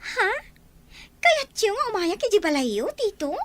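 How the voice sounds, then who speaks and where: A gentle young village woman from an Ilocano audio drama, acted by a woman. Her voice is very high and bright, with a tender, hurt delivery. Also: sing-song, normal speed, exaggerated voice. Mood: shy, grateful.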